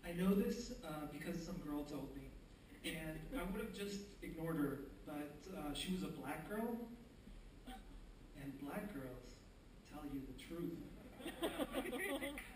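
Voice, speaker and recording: Soft-spoken young comic, echoey stage. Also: male voice, a little high, big pitch swings, measured pace, pauses often. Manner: soft-spoken, hesitant, self-deprecating. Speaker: stand-up comedian. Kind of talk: stand-up set. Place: theater stage. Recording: echoey theater, distant mic, crowd noise.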